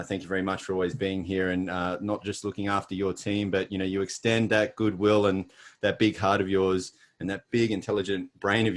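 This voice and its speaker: A middle-aged Australian man presenting on a video call, chatty and jokey with a self-deprecating streak. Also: low-pitched. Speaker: guest presenter. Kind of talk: webinar presentation.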